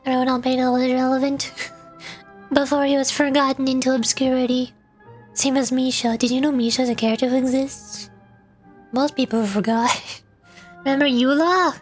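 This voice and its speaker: An American woman streamer with a high-pitched voice chats casually through a game. Her manner is playful, with dry little jokes. Music runs clearly in the background. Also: steady tone, moderate pace. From United States. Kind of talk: casual playthrough commentary.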